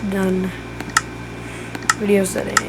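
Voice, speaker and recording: A woman walks through a game build in a relaxed, think-aloud way, her voice worn and going hoarse. She speaks in an even, level tone with little rise and fall. A steady noisy hiss sits under the recording. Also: mid-range voice, slow. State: losing her voice. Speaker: gaming tutorial creator. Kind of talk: casual step-by-step game tutorial.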